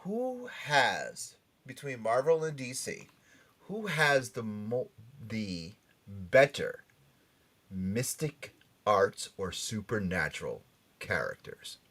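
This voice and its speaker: A man hosting a casual show excitably, with showy announcer flourishes and repeated phrases for emphasis. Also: on the low side, slow pace. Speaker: podcast host.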